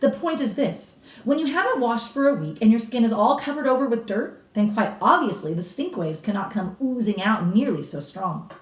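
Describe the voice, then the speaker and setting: A woman's voice: fairly high pitch, animated, normal speed, hardly any pauses, voice pushed up, lightly acted. A woman voicing a blunt, authoritative elderly grandmother from a storybook, explaining with emphatic relish and a snappish edge. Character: cigar-smoking old grandmother, authoritative, blunt, relishing grisly witch lore. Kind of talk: storybook read-aloud character. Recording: roomy echo, distant microphone.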